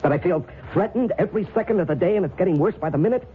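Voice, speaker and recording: A man's voice: high voice, racing, close to an everyday voice. Man acting a frantic, frightened man, tinny noisy radio. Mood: desperate, angry. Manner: agitated, stammering, pleading. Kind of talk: old-time radio drama acting.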